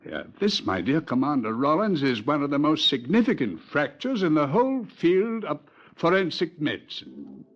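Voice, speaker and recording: Lively British forensic pathologist. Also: male voice, a little high, moderate pace. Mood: deliberate, dramatic. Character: precise, dryly dramatic English forensic pathologist. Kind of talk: 1950s radio drama acting. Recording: muffled vintage radio recording.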